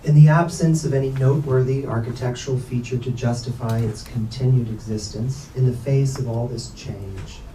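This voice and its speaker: A man reading literary prose aloud at a staged book reading, with deliberate, measured delivery. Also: mid-range voice, steady tone, warm tone. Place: bookstore event space. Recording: echoey room, distant mic, background noise.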